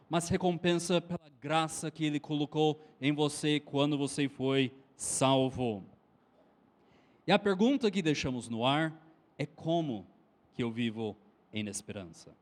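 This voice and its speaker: A man from Texas with an American accent, preaching a sermon in a language not his first, slowly stressing each command. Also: on the high side. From Texas, United States. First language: English. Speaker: pastor.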